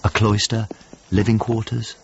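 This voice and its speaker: An earnest, anxious male painter tells his story in clipped fragments, as in a radio play. The sound is dull and lo-fi, with clear noise behind him. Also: on the low side, level tone, measured pace. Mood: sombre, reflective. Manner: clipped, fragmentary descriptive narration. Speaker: actor, narrator and lead of a radio drama. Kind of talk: radio drama narration and dialogue. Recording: dull lo-fi radio drama, clearly noisy background.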